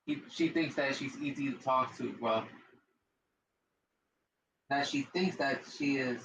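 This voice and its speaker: Gaming streamer, man, deep voice, loose rambling commentary with a faint hiss. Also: takes it slowly, gravelly, a bit bright, reverberant, lo-fi sound. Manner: rambling, repeats himself, slangy. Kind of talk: casual let's-play commentary.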